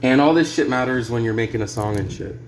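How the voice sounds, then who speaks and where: A man's voice: mid-range voice, fast. A man giving a casual, unscripted music gear demo in a rambling, hesitant, sweary way. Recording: clear background noise.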